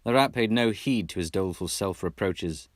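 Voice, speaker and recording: Low-voiced man narrating, very dry sound. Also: moderate pace, no echo. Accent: put-on British. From Texas, United States. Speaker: amateur audiobook reader. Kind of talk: expressive storybook narration.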